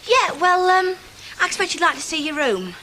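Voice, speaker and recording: Chatty landlady type, really high bright voice, bouncy. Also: sing-song, moderate pace, slight background noise, heavily acted. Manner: chatty, homely, colloquial. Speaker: woman posing as a landlady.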